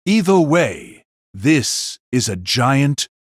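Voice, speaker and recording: A man's voice: medium pitch, very animated, very slow, dry recording, clean studio sound, voice pushed down, heavily acted. A man playing a confident, sardonic, battle-hungry young warrior in an audio drama, drawling and self-assured. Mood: cocky.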